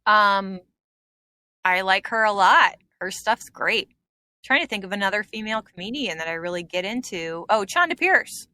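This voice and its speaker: A woman's voice: mid-range voice, lively intonation, normal speed, sharp tone. A woman comedian chatting as a guest on a podcast, reminiscing with plenty of fillers. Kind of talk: casual podcast interview.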